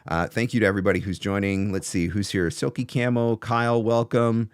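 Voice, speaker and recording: A man's voice: fairly low pitch, steady tone, fairly quick, clear, resonant tone, no echo. A man in his forties hosting a casual livestream of advice, talking emphatically and repeating phrases for stress, close on a studio microphone. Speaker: host of a livestream on voiceover business. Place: small indoor home studio. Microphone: large studio microphone close in front.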